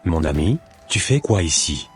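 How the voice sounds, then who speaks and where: Man voicing a low-pitched, devout, humble man. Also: big pitch swings, normal speed, faint music behind. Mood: grateful. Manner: pious, earnest, formal. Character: devout, humble formerly homeless man, earnest and grateful.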